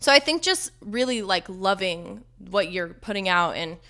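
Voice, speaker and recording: A young woman singer-songwriter with a low, slightly raspy speaking voice, chatting casually and giggly in an interview, full of "like" and quoted asides. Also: expressive, moderate pace, bright, forceful tone. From Los Angeles area. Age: young adult.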